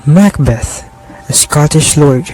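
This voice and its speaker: Young man, slightly high voice, unhurried scripted narration, music behind. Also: a little mellow, poor audio. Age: young adult. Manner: slow, deliberate storytelling. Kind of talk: scripted narration for a student radio drama.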